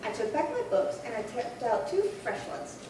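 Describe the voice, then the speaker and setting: A woman's voice: a little high, very animated, moderate pace, mellow. A woman playing a chatty, dithering elderly single lady in an old-time radio drama, rambling politely and fussily. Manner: rambling, fussy, politely fluttery. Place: live theatre performance space. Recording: very echoey hall, distant, faint noise.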